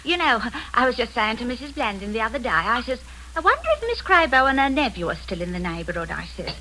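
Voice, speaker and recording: A gossipy, obliging tea-shop keeper in a vintage radio play. Her voice is bright and lively in pitch, with a faint hiss behind it. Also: fast, full character voice, low-quality recording. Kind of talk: 1940s radio acting.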